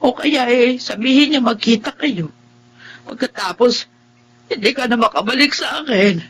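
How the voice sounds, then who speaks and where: A woman's voice: fairly high pitch, normal speed, fairly bright tone, breathy edge. An actress playing a sickly, anxious, loving middle-aged mother with a tender, plaintive manner in a Filipino radio drama. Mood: alarmed, tearful. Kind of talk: emotional Tagalog radio drama acting.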